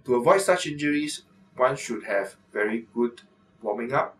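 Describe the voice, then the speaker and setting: A middle-aged man, an orthopaedic surgeon, explaining to camera in a measured way with frequent filler pauses, in a medical office. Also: medium pitch, expressive, a bit bright, distant mic, poor audio. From Singapore.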